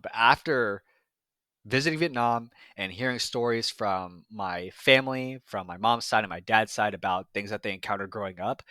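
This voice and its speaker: Bright-toned young man reviewing films. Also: on the low side, dry recording. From United States. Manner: reflective, frequent fillers and restarts. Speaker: film reviewer. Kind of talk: casual film review monologue.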